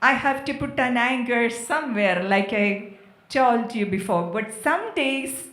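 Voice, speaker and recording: A woman from Kerala speaks reflectively on a panel, pausing between short phrases. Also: on the high side, fairly bright tone. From Kerala, India. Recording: echoey, amplified festival venue.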